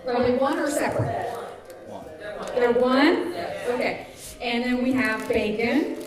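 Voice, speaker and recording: Woman, live stage co-host, playful banter, animated but unhurried, far from the mic, strong room echo. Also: a little high. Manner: chatty, playful teasing. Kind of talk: unscripted live banter with audience. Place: community hall, live stage show. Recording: echoey hall, distant mic, noisy audience. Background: live audience crowd.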